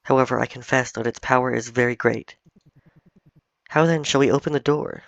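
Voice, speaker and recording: A wise, ancient cat spirit guardian speaking in solemn, measured tones, as a man reads it out. The voice has a gravelly edge, and the dull, dry recording carries faint game music underneath. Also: medium pitch, fairly even intonation, no room sound, natural voice.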